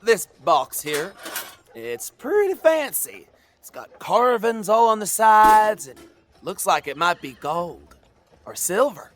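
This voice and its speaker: A man's voice: high voice, very animated, slow pace, fairly bright tone, soft background music, exaggerated voice. A man playing a shifty, boastful frontier swindler in an audio drama, hesitating as he improvises his lies. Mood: eager, frustrated.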